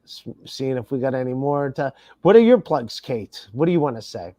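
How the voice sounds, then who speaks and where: A man's voice: mid-range voice, expressive, normal speed, warm tone, no room sound, studio quality. A man hosting a podcast in casual, profane chat, rambling as he reads viewer comments aloud. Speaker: podcast host. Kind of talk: casual chat reading super chats aloud.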